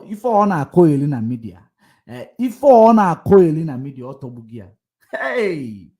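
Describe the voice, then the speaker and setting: A man hosting a political livestream, combative and repetitive, swinging between loud outbursts and low muttering. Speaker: livestream host. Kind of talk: casual political commentary livestream.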